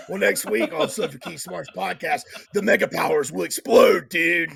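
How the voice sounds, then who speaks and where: Gravelly-voiced man hosting a podcast. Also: high voice, lively intonation, unhurried, fairly bright tone. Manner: laid-back, loose, slangy, lots of fillers. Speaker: podcast host. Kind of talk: casual chat.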